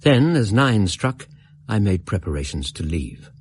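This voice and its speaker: Deep-voiced English gentleman narrator. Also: very animated, slight background noise. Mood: calm, reminiscing. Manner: measured, literary, storytelling. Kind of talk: scripted radio drama narration.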